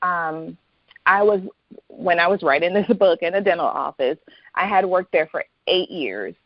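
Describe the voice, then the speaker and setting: A woman's voice: fairly low pitch, moderate pace, dry recording, lo-fi sound. A woman speaking as a guest author in a conversational, reflective way. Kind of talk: reading aloud from own novel, then interview chat.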